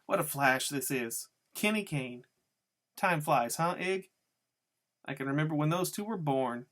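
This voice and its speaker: A man's voice: a little high, expressive, unhurried, fairly bright tone. A man voicing a giggly, mocking, slangy tough woman in a story reading. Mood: excited recalling. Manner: teasing, taunting, slangy. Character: giggly, mocking, needling tough woman who drinks beer.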